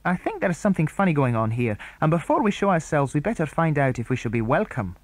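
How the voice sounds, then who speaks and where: A man's voice: a little high, big pitch swings, fast, no echo, voice pushed up. A man reading aloud and voicing a brave, thoughtful young boy who leads the others, in an eager, earnest way.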